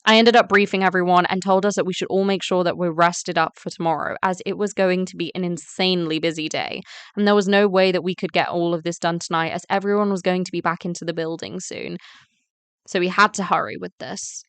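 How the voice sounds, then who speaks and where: A woman voicing a teenage fashion student in an amateur online drama. Her voice is on the low side, smooth and closely recorded, earnest as she tells her own story. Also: steady tone, brisk, no room sound, close to an everyday voice. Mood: earnest, eager. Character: teenage fashion-school girl, excited narrator, a bit nervous. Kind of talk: scripted first-person story narration and dialogue.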